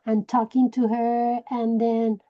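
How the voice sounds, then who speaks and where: A woman from Puerto Rico with a Puerto Rican Spanish accent, Spanish her first language, telling her personal story slowly and searching for words. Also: on the high side, flat delivery, highs cut off. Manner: slow, searching for words, many restarts. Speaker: direct sales director. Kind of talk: personal story told to a group.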